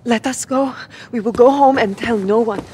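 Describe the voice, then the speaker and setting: A woman's voice: fairly high pitch, normal speed, warm tone, slight background noise, lightly acted. A woman playing a frightened but brave mother held hostage in a video game drama. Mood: indignant. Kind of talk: cinematic video game acting.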